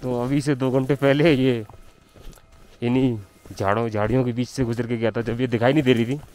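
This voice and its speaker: Young guy vlogging on a hilltop, mid pitch, chatty with viewers, some music under it. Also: fairly even intonation, a little fast, a little mellow. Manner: chatty, addresses viewers directly. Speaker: travel vlogger. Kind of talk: casual vlog narration. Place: outdoors on a mountain at dawn.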